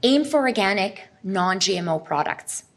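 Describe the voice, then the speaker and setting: A middle-aged woman presents to a live audience, earnest and explanatory. Her pitch sits at an ordinary middle height for a woman, and she now and then turns to ask the crowd a question. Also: lively intonation, measured pace. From Serbia. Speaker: speaker at a health expo.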